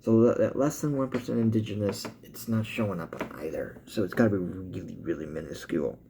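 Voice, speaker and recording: A man with an American accent talking casually as a vlogger, thinking aloud in halting commentary as he reads through results. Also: lowish voice, noisy background. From born in Cuba, grew up in the US. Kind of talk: casual update talk, reading results aloud.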